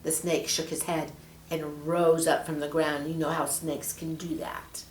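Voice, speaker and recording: A woman reading a children's story aloud expressively, measured and leaning on key words. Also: low-pitched, level tone, darkish tone, slight background noise. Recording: echoey room.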